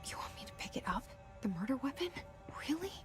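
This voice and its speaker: A woman playing a wary young outsider heroine in a game, introspective, dry and often hushed. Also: high voice, very animated, fast talker, over music. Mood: uneasy.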